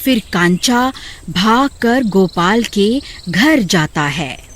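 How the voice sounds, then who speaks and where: A woman's voice: medium pitch, expressive, very slow. A woman narrating a scripted horror story.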